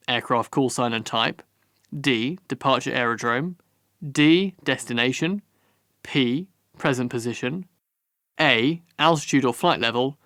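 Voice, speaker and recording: A man reading scripted instructional narration for a training course in a measured, formal manner. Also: mid-range voice, a bit bright, dry recording, clean studio sound.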